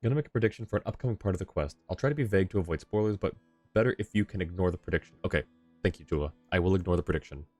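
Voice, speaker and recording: A man's voice: deep voice, very fast, dark tone, no echo, faint music behind. A man livestreaming a game, giving casual commentary as he plays. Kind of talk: casual game stream commentary, reading dialogue along.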